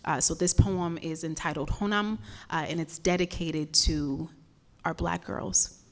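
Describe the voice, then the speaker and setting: A woman's voice: low voice, fairly even intonation, measured pace. A young woman from Ghana, a spoken word poet, talking to a live event crowd and then performing a poem with a rhythmic, impassioned delivery and repeated words. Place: stage at a live campus event.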